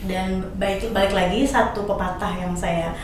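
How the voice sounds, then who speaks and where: Woman host, mid-pitched, casual summarizing talk, heavy echo, clear background noise. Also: fairly even intonation, measured pace. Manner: agreeing, summarizing others' points. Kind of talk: casual talk-show hosting. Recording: very echoey, distant mic, noisy.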